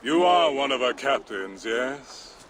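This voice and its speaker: An actor playing a sinister, commanding fire-giant sorcerer in a fantasy game, with a drawn-out, coaxing menace. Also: male voice, medium pitch, expressive, a crowd in the background. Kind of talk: villainous game cutscene acting.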